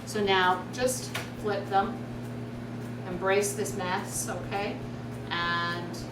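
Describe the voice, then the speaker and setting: A woman hosting a casual cooking demonstration, chatty and instructive, often checking in with a quick "okay?". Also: fairly low pitch. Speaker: cooking show host. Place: indoor kitchen. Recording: roomy, distant mic, loud sizzling noise. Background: food frying and sizzling.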